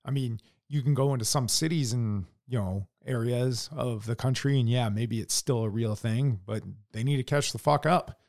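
A blunt American man holding forth in casual podcast talk, cursing freely and tripping over his own restarts. His voice sits at an ordinary middle pitch. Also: moderate pace, hardly any pauses, a little mellow. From United States. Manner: blunt, heavy swearing, many restarts. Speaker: podcast co-host. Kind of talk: casual opinion chat.

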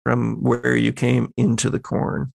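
Male game master, average pitch, heavy vocal fry, dry close sound, horror tabletop narration. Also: flat delivery, unhurried, dry recording.

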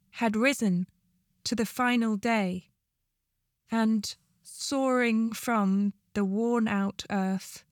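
A woman reciting poetry in a slow, measured delivery. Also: fairly high pitch, no room sound, clean studio sound. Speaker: poetry reader, charity staff.

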